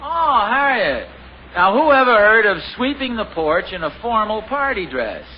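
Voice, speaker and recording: A genial bumbling radio sitcom dad. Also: male voice, sits high, very animated, normal speed, dull audio. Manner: chuckling, amiable, a little bumbling. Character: genial, easily flustered suburban dad. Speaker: father and husband in a radio sitcom. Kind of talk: 1950s radio sitcom acting. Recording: scratchy 1950 radio broadcast with hiss.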